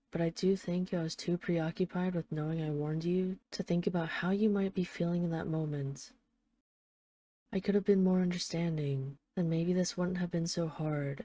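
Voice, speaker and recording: Actress as female mafia boss in hiding, lovesick and apologetic. Lowish, soft-edged dark tone, earnest halting delivery, intimate audio roleplay. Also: no echo, close to an everyday voice. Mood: rueful. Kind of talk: intimate audio roleplay, one side of a phone call.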